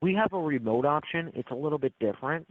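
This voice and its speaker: Man pitching over a tinny phone line. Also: lively intonation, quick pace. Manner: smooth, persistent, repeats selling points. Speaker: phone tech support salesman.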